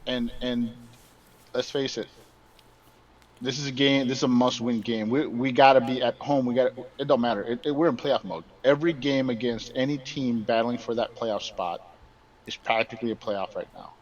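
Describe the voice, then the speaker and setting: A man's voice: medium pitch, fairly even intonation, normal speed. A man co-hosting a casual soccer podcast, talking emphatically and repeating words as he stumbles through his points. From Mexican background. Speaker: podcast co-host. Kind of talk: casual sports chat. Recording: dull distant remote audio.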